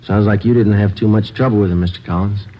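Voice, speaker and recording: An actor playing a level-headed police sergeant in a 1950s radio drama, speaking in a clipped, flat, businesslike way. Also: male voice, on the low side, fast talker, slight background noise, lightly acted. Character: terse, level-headed male police detective. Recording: dull old radio broadcast.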